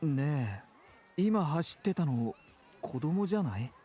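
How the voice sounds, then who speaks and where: An actor playing a caring, politely firm adult man with gentle feminine turns of phrase in a Japanese visual novel. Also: a little high, sing-song, moderate pace, husky. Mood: surprised, concerned. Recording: game dialogue with music behind.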